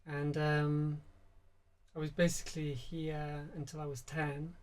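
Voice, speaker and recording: A man from Spain, a musician guest, chatting in a relaxed, soft-spoken interview and using many fillers. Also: fairly high pitch, measured pace.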